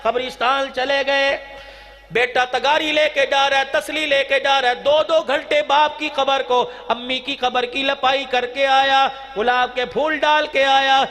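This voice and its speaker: A man's voice: extremely high pitch, pushed and bright. A man preaching an impassioned Islamic sermon, declaiming and drawing phrases out into a chant. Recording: long hall reverb, music-like sound behind.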